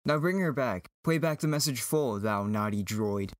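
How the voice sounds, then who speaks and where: A man's voice: mid-range voice, expressive, moderate pace, dry recording, close to an everyday voice. A man playing an earnest, lovestruck young farm boy hero, declaiming verse in an amateur Shakespearean reading. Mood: indignant.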